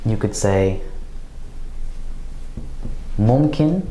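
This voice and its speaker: A man's voice: lowish voice, expressive, mellow, slight background noise. Man teaching grammar in an echoey room. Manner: slow, deliberate explanations with example phrases. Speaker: language teacher. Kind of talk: recorded grammar lesson.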